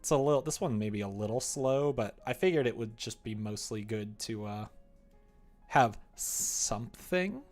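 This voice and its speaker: A man's voice: medium pitch, expressive, normal speed, darkish tone, soft background music. A young man livestreaming casually at his computer, thinking aloud in a meandering, muttering way. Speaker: livestreamer developing a visual novel. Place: indoor room at a computer.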